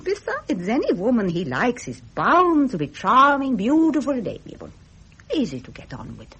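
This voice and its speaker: Gracious devoted princess, husky, lively intonation, earnest and refined, 1970s radio drama. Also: female voice, on the high side, normal speed, heavily acted. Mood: serene devotion.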